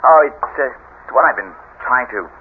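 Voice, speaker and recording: An actor playing a reassuring, businesslike husband in a radio drama, a little hesitant and evasive. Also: male voice, mid-range voice, lively intonation, moderate pace, close to an everyday voice. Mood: evasive, casual. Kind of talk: 1970s radio drama acting. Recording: tinny, faintly noisy old radio recording.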